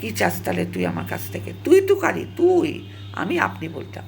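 An elderly woman in her seventies speaks with a gravelly creak and wide swings of pitch. She recounts her own past dramatically, pausing and repeating phrases for weight. There is faint noise behind her. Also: huge pitch swings, darkish tone. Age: 70s. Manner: dramatic, halting, repeats phrases for emphasis, mixes in English words. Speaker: storyteller recalling own past. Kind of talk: emotional personal storytelling, casual monologue.

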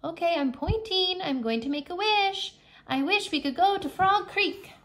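An eager little girl adventurer from a children's storybook, played by a woman reading aloud. Her voice jumps up high and sounds childlike and excited. She is bold and trusting as she speaks. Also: moderate pace. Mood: earnest, hopeful.

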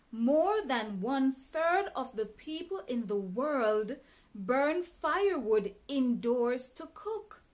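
Woman teacher in her 30s, reads a lesson very slowly and deliberately, small room. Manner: careful, deliberate reading with expressive pitch. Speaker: English teacher reading a textbook aloud. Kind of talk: slow, clearly enunciated read-aloud lesson. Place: small bright indoor room.

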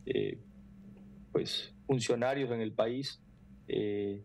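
A middle-aged man, explaining earnestly and haltingly as an interview guest on a video call. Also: on the low side, gravelly, a little mellow. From Ecuador. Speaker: foundation president, interview guest. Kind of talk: interview answers. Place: room indoors at home or office.

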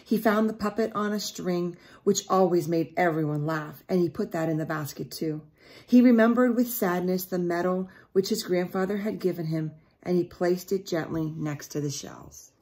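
A middle-aged woman reads a storybook aloud in a fairly low voice. She tells it deliberately, as a teacher would to young children, and breaks off to put questions to her listeners. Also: darkish tone. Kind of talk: picture-book read-aloud with asides to children. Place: small indoor room at home.

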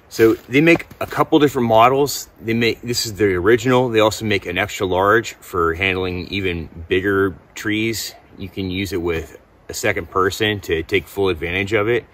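A man's voice: fairly low pitch, moderate pace, fairly bright tone, a little hiss or hum. A middle-aged man giving a casual gear review to camera outdoors, laid-back and informal with enthusiastic slang. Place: outdoors in snowy woods.

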